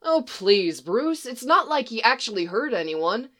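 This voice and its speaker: A woman's voice: fairly high pitch, sing-song, measured pace, a bit bright, pitched above the performer's own voice. A woman reading a story aloud, voicing a friendly, trusting young man with a light, easygoing way of speaking. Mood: cheerful.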